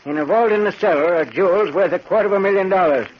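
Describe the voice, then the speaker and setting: An actor playing a grieving, vengeful old man in a 1940s radio drama, speaking with grave, deliberate intensity. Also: high voice, close to an everyday voice. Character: grieving, vengeful old man, once wealthy, coldly determined. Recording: muffled, hissy 1940s radio recording.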